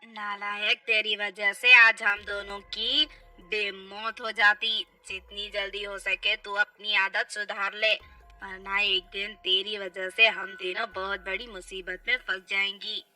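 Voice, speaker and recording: Casting: menacing elder witch for a cartoon tale female performer, bossy and scolding, dropped pitch, forceful tone, faint music. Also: flat delivery, slow. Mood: angry scolding.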